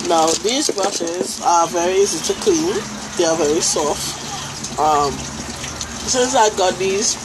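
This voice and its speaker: A young woman from Trinidad with a Trinidadian accent, reviewing makeup brushes into a close phone camera in a small room, talking in an unhurried way with many fillers. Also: fairly low pitch, noisy background. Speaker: beauty vlogger. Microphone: phone camera held close.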